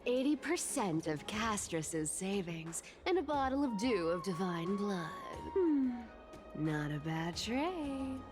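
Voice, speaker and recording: A sly young demigod ferrywoman in a video game. Her delivery is unhurried and very animated, flippant and teasing, as if always haggling. Music plays clearly under the voice. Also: mid-range voice. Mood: sly, pleased. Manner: teasing, flippant, drawling then quick.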